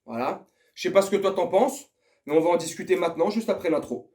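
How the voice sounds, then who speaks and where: A man's voice: high voice, expressive, fast talker, a little mellow. A man giving a casual, slangy football rant to his viewers, swearing and speaking to them as a friend. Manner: slangy, profane, addresses viewer familiarly. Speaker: football vlogger.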